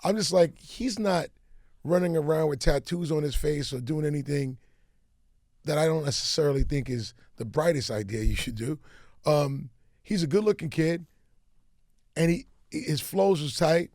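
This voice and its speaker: A middle-aged man with a raspy voice, a music executive telling stories freely as a guest in a casual radio-studio interview. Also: a little high, normal speed, dry recording. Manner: animated storyteller, talks freely.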